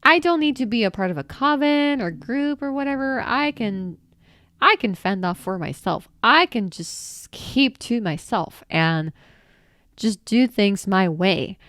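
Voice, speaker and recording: A woman's voice: mid-range voice, expressive, a little slow, a bit bright, no echo, clean studio sound. A woman hosting her own channel, reading viewer comments aloud and reacting with laughs, exclamations and mild swearing. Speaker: channel host answering viewer questions.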